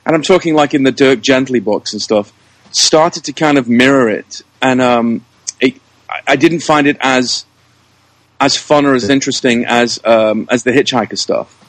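A man gives casual interview answers in a medium-pitched voice. He speaks hesitantly, working through his thoughts aloud, and the sound is very dry with hardly any room to it. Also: normal speed, no echo, low-quality recording. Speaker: interview guest, show creator, writer and director.

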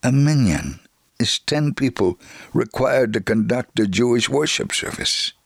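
A man giving an earnest, measured first-person reading of a missionary's letter, in scripted narration. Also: medium pitch, lively intonation, creaky, dry recording, studio quality.

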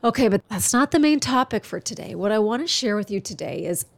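A woman's voice: medium pitch, lively intonation, a little fast, vocal fry, no room sound. Woman podcast host, stuffy congested voice, earnest chatty delivery, dry close sound. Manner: earnest, chatty, warm-hearted encouragement. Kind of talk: solo podcast monologue.

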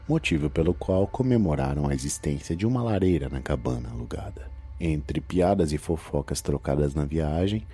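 A man with a Brazilian accent and a husky voice, narrating a scripted horror audio drama. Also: rumbling low, warm tone.

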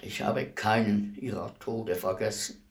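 Radio drama executioner: solemn, reflective, polite, very slow delivery with a creaky, gravelly edge. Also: male voice, lowish voice, fairly even intonation. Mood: calm, earnest. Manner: formal, measured, deliberate delivery. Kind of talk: German radio play acting.

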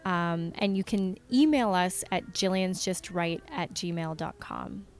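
A woman's voice: fairly low pitch, slow. A woman talking casually and enthusiastically as an interviewed independent filmmaker, with an earnest manner.

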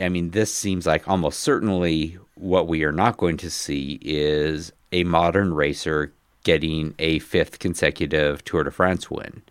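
A man with a very low, bass voice hosts a casual podcast chat while a cold is coming on. He talks haltingly, with ums and restarts. The sound is close and dry. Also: clear, resonant tone, dry recording. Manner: hesitant, many ums and restarts. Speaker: podcast host.

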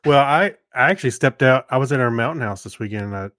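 A man's voice: medium pitch, lively intonation, fast, a bit bright, dry recording, clean studio sound. A man chatting casually as a podcast co-host in an easygoing, agreeable way. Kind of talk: casual chat.

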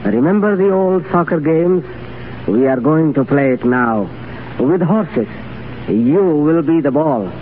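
Cruel, high-pitched gloating desert sheikh. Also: male voice, lively intonation. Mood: gloating menace. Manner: mocking, menacing, deliberate threats. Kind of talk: 1930s radio drama acting. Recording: noisy muffled 1930s radio recording, crowd behind.

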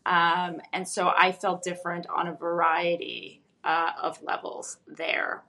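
A woman whose first language is Russian, speaking in a lowish voice. She talks as a guest in an unhurried, reflective way, often pausing with an um and letting her sentences trail off softly. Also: level tone, creaky. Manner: thoughtful, with frequent ums and soft trailing ends. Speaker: guest, psychologist. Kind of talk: reflective personal storytelling.

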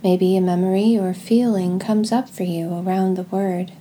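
A woman leading a guided devotional reading in a gentle, deliberate way, pausing between short phrases. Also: medium pitch, steady tone, slight background noise. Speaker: podcast host leading guided prayer and scripture reading.

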